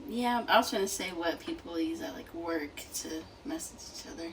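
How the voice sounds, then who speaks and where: A woman's voice: on the low side, animated, moderate pace, a bit bright. A woman chatting casually as a reaction co-host, recorded a little away from the mic. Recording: slightly distant mic, faint noise.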